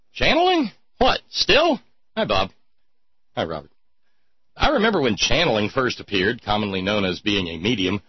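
A man's voice: fairly low pitch, husky, no echo, dull audio. A man hosting an irreverent talk show, reading listener notes aloud with a mocking, sardonic edge.

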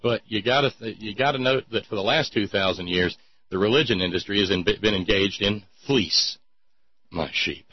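A man hosting a talk show with a sardonic, mocking manner, reading listener notes and poking fun at what he reads. His voice is slightly husky and sits at an ordinary pitch. The mic sounds close and dry, with a slightly dull, muffled tone. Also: moderate pace, no room sound. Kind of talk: irreverent call-in talk show.

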